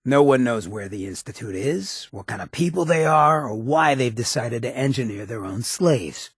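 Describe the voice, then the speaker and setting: An actor playing a swaggering, roguish and menacing ghoul mayor in a video game, with a raspy, streetwise, slangy drawl. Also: male voice, mid-range voice, expressive, moderate pace, no echo, clean studio sound. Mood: explaining, firm. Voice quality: very raspy, gravelly rasp. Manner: streetwise slangy drawl, cocky and smooth-talking. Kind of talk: video game character dialogue.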